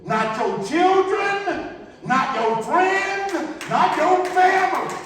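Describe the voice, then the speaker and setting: A man's voice: extremely high pitch, animated, slow, noisy background. A man preaching a fervent sermon, emphatic and repetitive, throwing out rhetorical questions. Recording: echoey hall, far from the mic.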